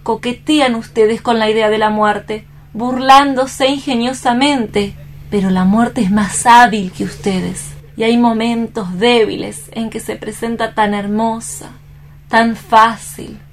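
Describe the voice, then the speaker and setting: Radio play casting: a young woman fresh from despair, earnest and pleading, mid-to-high register, slightly hissy recording. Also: fairly even intonation, slow. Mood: grave, reproachful. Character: distraught, resolute young woman recovering from despair. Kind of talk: dramatic staged radio reading.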